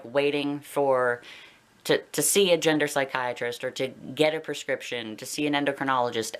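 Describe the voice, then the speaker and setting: A man's voice: medium pitch, fairly bright tone. A young adult man with a Canadian accent talking casually and candidly to viewers in a personal vlog, rambling a little. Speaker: video blogger.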